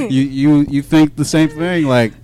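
A man hosting a casual interview, laid-back and halting, with many restarts. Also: a little high, fairly even intonation, darkish tone. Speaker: podcast host.

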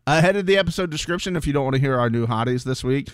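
A man's voice: medium pitch, lively intonation, racing, smooth, dry recording, clean studio sound. A man co-hosting a casual podcast, joking and swearing freely. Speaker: podcast co-host. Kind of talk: casual chat.

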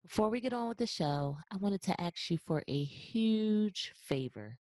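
A woman's voice: medium pitch, lively intonation, measured pace, darkish tone, dry recording. A woman hosting a podcast interview, enthusiastic and chatty, often repeating her praise. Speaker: podcast host. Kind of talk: casual interview, upbeat.

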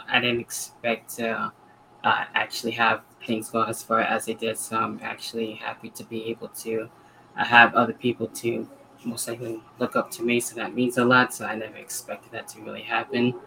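A woman's voice: extremely low pitch, monotone, normal speed, gravelly, pushed and bright, a little hiss or hum, low-quality recording. A woman from New York chatting modestly and a little hesitantly on a podcast panel. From New York, United States. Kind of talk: casual panel chat.

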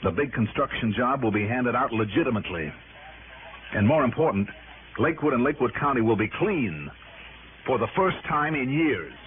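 A man's voice: mid-range voice, lively intonation, moderate pace, natural voice. Guy acting a tough american radio detective, wry and persuasive, tinny noisy old broadcast sound. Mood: urgent, insistent. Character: tough, self-assured male private investigator defending himself. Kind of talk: 1960s radio drama acting.

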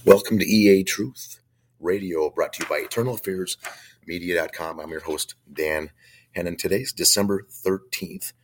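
A man hosting a faith-based talk radio show, hoarse from a chest cold, talking in a blunt, opinionated, conversational way. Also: low voice, normal speed.

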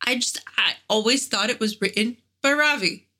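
A woman's voice: a little high, moderate pace, sharp tone. A woman co-hosting a podcast in casual chat, gushing with enthusiasm about the music. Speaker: podcast co-host.

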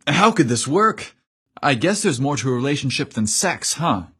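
A man voicing an earnest, excitable young scientist in an emotional, eager manner, as in a romance storybook. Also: medium pitch, moderate pace, breathy edge, full character voice. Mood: bashful wondering.

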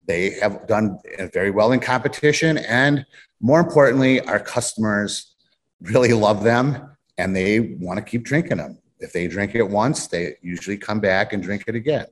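Rambling, low-voiced man from Illinois. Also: moderate pace. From Illinois, USA. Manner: rambling storyteller, repeats phrases. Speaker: distillery co-owner. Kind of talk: casual interview talk.

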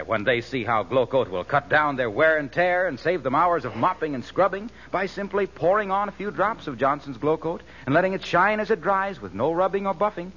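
An eager American salesman, speaking like a 1940s radio announcer. His voice is bright and animated, on a muffled old recording. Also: medium pitch. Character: smooth, eager salesman friend slipping into product pitches. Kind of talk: 1940s radio advertising pitch.

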